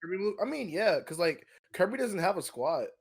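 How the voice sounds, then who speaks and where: A young American man co-hosting a podcast in casual, slangy group chat, telling things in an animated storytelling way. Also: high-pitched, quick pace, a crowd in the background. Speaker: podcast co-host.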